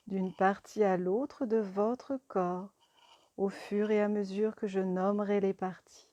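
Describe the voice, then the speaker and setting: A woman guiding a yoga relaxation meditation in a calm, soothing, deliberate manner. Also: mid-range voice, no room sound. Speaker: yoga nidra teacher.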